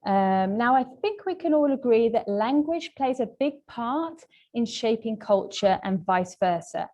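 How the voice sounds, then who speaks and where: A woman presenting a webinar lesson as a language trainer, explaining points in a polite, measured, semi-scripted way. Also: fairly high pitch, animated, mellow.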